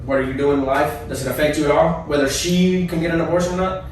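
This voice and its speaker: Fast-talking Cuban-born man in echoey room. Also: a little high, level tone, a little hiss or hum. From Cuba. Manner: insistent, repeats himself to hold the floor. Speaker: podcast co-host. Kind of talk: heated casual debate. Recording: echoey room, far from the mic.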